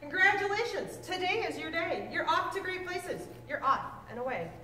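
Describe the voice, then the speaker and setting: A woman reading a children's book aloud to a class, with expressive, deliberate storybook phrasing. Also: very high voice. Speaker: teacher reading aloud to sixth grade students. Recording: echoey hall, distant mic, faint noise.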